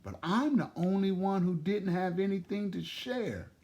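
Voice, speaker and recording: Man as young rabbit boy, storybook character, raised pitch, wide-eyed, earnest. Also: lively intonation, a little slow, darkish tone, dull audio. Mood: downcast. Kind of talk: storybook reading aloud.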